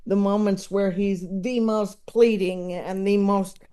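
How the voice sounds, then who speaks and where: Male comedy-expert guest with a high, mellow voice, slow and halting, conversational. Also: steady tone, smooth. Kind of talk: casual conversational film discussion.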